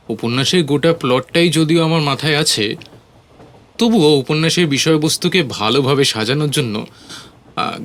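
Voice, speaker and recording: A man's voice: on the high side, fairly bright tone. A man playing an earnest young writer, explaining himself in audio story dialogue. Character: earnest young male writer researching his novel.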